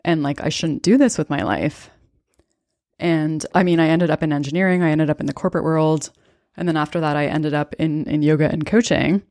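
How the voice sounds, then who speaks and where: A woman in her thirties, a podcast host and coach, calmly telling a personal story in a thoughtful, conversational way. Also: low voice, a little fast, dry recording, clean studio sound. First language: bilingual English and Estonian. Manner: calm, thoughtful, conversational with occasional fillers.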